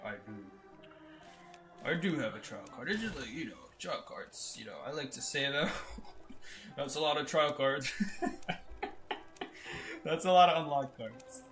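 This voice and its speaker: A young man commentating his own gameplay live, excitable and laughing often, going from loud disbelief to low mutters. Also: unhurried, long pauses, faint music behind. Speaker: game streamer.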